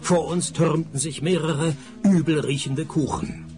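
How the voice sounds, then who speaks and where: An actor playing a cynical, wisecracking male detective who narrates his case in a radio play, dry and sardonic, with a gravelly voice. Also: fairly high pitch, steady tone, measured pace, darkish tone, soft background music, close to an everyday voice. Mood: deadpan narrating.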